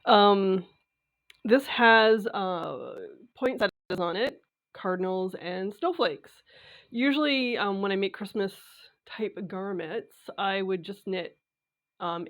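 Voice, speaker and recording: A woman vlogging about her sewing, her voice raspy and congested as she gets over a cold, chatting casually with lots of filler sounds and restarts. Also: mid-range voice, takes it slowly, dull audio. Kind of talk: casual talk to camera.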